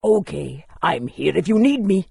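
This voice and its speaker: A man voicing a helpful, scholarly lore-keeper in a fantasy video game, telling history in a measured, expressive way. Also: high voice, vocal fry, husky, dry recording, clean studio sound, exaggerated voice.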